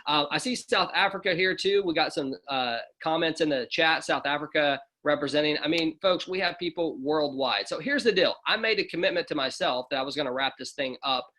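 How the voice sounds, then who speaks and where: A man's voice: a little high, a little fast, few pauses, pushed and bright. A man hosting an online video call, friendly and joking as he brings speakers on. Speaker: host of an online presentation. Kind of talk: upbeat casual hosting.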